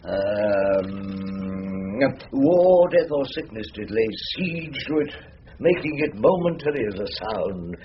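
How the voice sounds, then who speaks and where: An actor playing a wry, eloquent older English barrister in a British drama, speaking in a literary, ponderous way with hums and pauses. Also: male voice, on the low side, very animated. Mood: declaiming. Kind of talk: British courtroom radio drama acting. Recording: dull lo-fi drama recording, light hiss.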